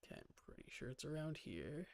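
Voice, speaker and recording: A man's voice: mid-range voice, wildly swooping pitch, brisk, creaky, a little mellow, slight background noise. A man giving casual live gaming commentary, muttering to himself and chatting to viewers, often repeating his words. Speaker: game streamer.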